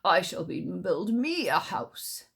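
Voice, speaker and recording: A woman voicing a large, fierce, possessive tiger in a storybook tale, with slow, emphatic delivery. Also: lowish voice, big pitch swings, vocal fry, fairly bright tone, breathy edge, exaggerated voice. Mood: musing.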